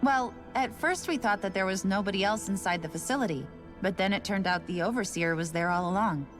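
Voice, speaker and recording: A woman's voice: mid-range voice, fairly quick, close to an everyday voice. An actress voicing a casual, resigned woman station worker in a video game. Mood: offhand. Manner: casual, offhand, matter-of-fact. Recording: game audio with faint music.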